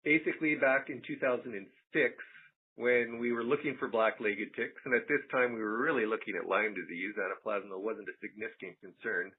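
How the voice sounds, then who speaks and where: A man gives an informal technical presentation on a conference call as an animal health presenter, measured and halting, with frequent pauses. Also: lowish voice, husky. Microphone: telephone conference call. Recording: muffled, tinny phone conference line.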